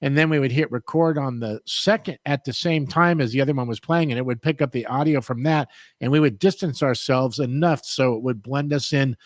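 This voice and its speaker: A man's voice: medium pitch, a little fast, dry recording, studio quality. An older man hosting a podcast, telling rambling, laid-back stories with lots of fillers. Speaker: podcast host. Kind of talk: casual interview chat.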